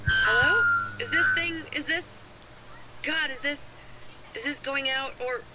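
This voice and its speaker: High, flustered young woman. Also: huge pitch swings, heavy background noise, heavily acted. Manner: halting, flustered.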